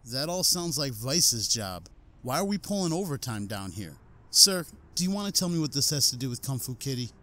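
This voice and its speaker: A man's voice: mid-range voice, very animated, moderate pace, bright, forceful tone. An actor playing an eager, wry rookie policeman in an audio drama, questioning and quippy, with music behind him. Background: background music.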